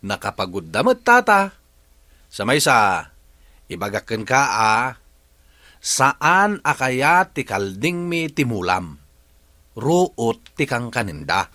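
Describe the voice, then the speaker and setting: Actor playing a bright-voiced, argumentative Ilocano village man. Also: medium pitch, very animated, slow pace, long pauses, exaggerated voice. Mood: defensive. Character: stubborn, argumentative village man who keeps goats. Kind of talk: Ilocano comedy radio drama acting.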